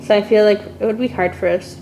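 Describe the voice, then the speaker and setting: A young woman chatting as a podcast guest, relaxed and full of "like" fillers. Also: mid-range voice, fast talker, slight background noise, poor audio. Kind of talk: casual chat.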